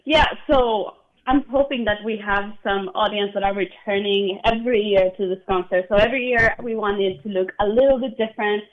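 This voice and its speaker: A woman's voice: mid-range voice, fairly even intonation, normal speed, lo-fi sound. A woman from Argentina, a conductor chatting enthusiastically as an interview guest and laughing often. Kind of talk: broadcast interview.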